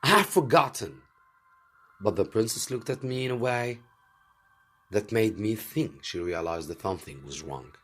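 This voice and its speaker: A man reading a story aloud as a teacher would, in an expressive and deliberate way for learners. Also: lowish voice, soft background music. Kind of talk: scripted story narration.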